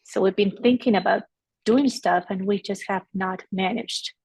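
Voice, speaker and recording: A woman's voice: mid-range voice, moderate pace, gravelly, darkish tone, breathy edge, no echo, low-quality recording. A woman introducing herself casually as a volunteer on a group video call. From Ecuador.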